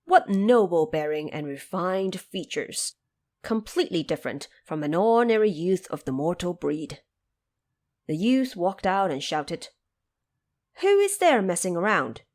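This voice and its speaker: A woman narrator giving scripted storytelling with explanations, expressive and measured in delivery. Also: lowish voice.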